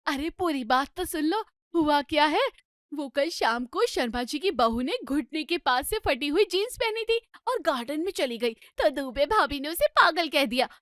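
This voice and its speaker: A woman acts out an excitable middle-aged housewife in a comedy drama. Her voice is very high and dry. She gossips with relish, nags and scolds in a dramatic, exclamatory way. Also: moderate pace, dry recording. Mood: gossipy, excited. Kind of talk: Hindi comedy story dramatisation.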